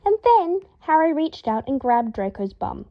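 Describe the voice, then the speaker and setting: High-voiced woman narrating fiction, slightly dull recording. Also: big pitch swings, measured pace. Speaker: podfic narrator. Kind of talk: expressive scripted fiction narration.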